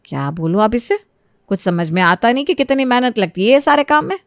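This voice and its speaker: A woman voicing a kind, motherly middle-aged aunty with a plaintive manner in an animated moral story. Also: on the high side, a little fast, no echo, clean studio sound. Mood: dismayed, weary.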